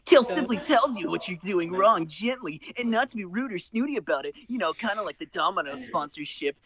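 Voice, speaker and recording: A man's voice: high voice, lively intonation, fairly quick, a crowd in the background. A man voicing a brash, hammy cartoon hedgehog who swears and plugs sponsors like a smart aleck. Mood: cheerful, goofy. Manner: hammy, sweary, breaks into laughter.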